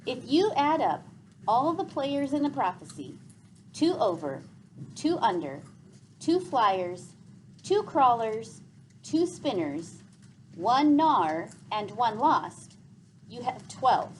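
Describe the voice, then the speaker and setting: A woman voicing a wise, gentle old man in a storybook, speaking in a grave, formal and measured way. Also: on the high side, big pitch swings, slight background noise. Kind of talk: storybook read aloud.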